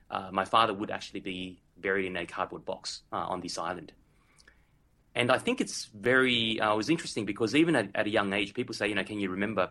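A man's voice: lowish voice, bright, forceful tone. A man in his forties from Vietnam with an Australian accent, telling his life story as a podcast guest in an earnest way, often saying "literally" and "so to speak". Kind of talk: casual interview storytelling.

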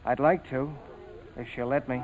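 An actor playing an easygoing, loyal young co-pilot with an earnest manner in a 1940s radio drama. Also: male voice, medium pitch, fairly even intonation, moderate pace, a little mellow, close to an everyday voice. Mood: friendly, hopeful. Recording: noisy old 1940s radio broadcast.

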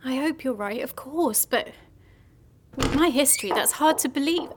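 A woman's voice: high-pitched, lively intonation, normal speed, background noise. An actress in an audio drama playing a morbid, guilt-ridden woman who frets and apologises with melodramatic self-pity. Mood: subdued, gloomy. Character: morbid, guilt-ridden, fretful young woman convinced she causes death.

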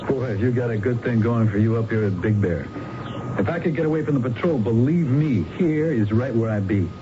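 Male patrolman on the take played by an actor, chummy, mid-pitched, hissy dull vintage radio. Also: brisk, natural voice. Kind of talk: radio drama acting.

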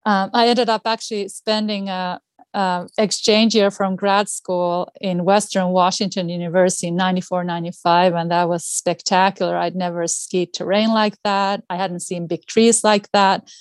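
A woman's voice: on the low side, flat delivery, moderate pace, no room sound. A middle-aged woman from Finland with a Finnish accent, chatting casually as an interview guest. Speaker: podcast guest, outdoor educator.

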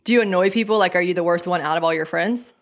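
A podcast guest in her twenties, chatting casually with quick, teasing reactions. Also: medium pitch. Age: 20s. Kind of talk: casual chat.

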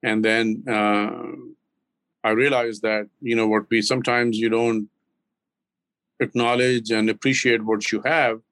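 An older man from Pakistan, in his sixties or beyond, with a Pakistani accent, talking reflectively as an interview guest in a measured, hesitant way with frequent pauses. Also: on the low side, flat delivery, a bit bright, no room sound. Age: 60s or older. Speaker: interview guest, business owner.

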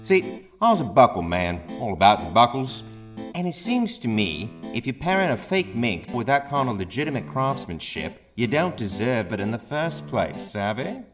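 An actor playing a cocky Victorian street thief in a comedic audio drama, with theatrical, colloquial patter. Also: male voice, mid-range voice, very animated, normal speed, music in the background, lightly acted. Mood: smug, menacing. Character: cocky Victorian London street thief, really an exasperated husband.

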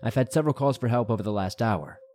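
A man's voice: medium pitch, fast, a little mellow, slight background noise, close to an everyday voice. A man voicing a nervous, hesitant rookie park ranger in a horror story. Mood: uneasy, formal. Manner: hesitant, uneasy.

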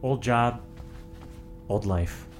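A man's voice: medium pitch, faint music behind. A man playing an uneasy, haunted lodger in an audio drama, speaking hesitantly. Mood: guarded. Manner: hesitant, halting. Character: uneasy male lodger haunted by his past.

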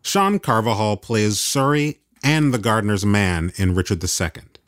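A man's voice: on the low side, animated, moderate pace, a bit bright, no room sound, clean studio sound. A man hosting and narrating a documentary in scripted narration. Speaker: host and narrator.

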